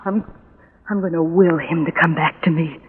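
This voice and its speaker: A woman's voice: low voice, steady tone, moderate pace, narrow, tinny audio, slight background noise, natural voice. An actress playing a desperate, obsessive, lovesick young woman in a 1940s radio drama, with a faltering, breathless, melodramatic delivery. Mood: obsessive resolve. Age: young adult. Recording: vintage 1940s radio recording.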